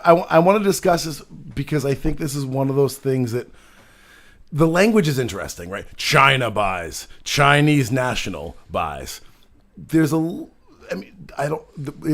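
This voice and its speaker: A man's voice: a little high, very animated, a little slow, creaky, fairly bright tone. Rambling male political talk host. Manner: rambling, many restarts and fillers. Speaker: show host. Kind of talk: casual political chat.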